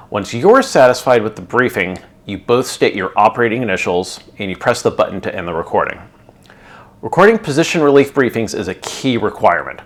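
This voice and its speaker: A middle-aged man hosting his channel, narrating a scripted explainer in a clear, instructional way. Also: lowish voice. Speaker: channel host explaining air traffic control.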